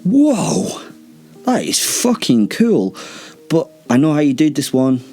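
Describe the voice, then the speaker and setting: A man's voice: fairly low pitch, big pitch swings, a little fast, a little mellow, soft background music. Man playing a cocky, mocking werewolf. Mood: mocking awe. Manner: sarcastic, mock-awed, sweary. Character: cocky, mocking male werewolf, flippant and unafraid.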